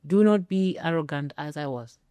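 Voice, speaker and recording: A middle-aged woman tells her story in an interview with a low, mellow voice. She speaks emphatically and pauses for effect. The sound is close and dry. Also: clean tone, no echo. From Kenya. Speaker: interview guest, former air traffic controller. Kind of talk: personal storytelling in a sit-down interview.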